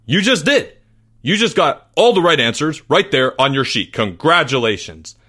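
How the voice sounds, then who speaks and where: Man as exasperated male teacher, storybook character, emphatic, snappish, slightly sinister, forceful tone. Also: on the low side, very animated, normal speed, heavily acted.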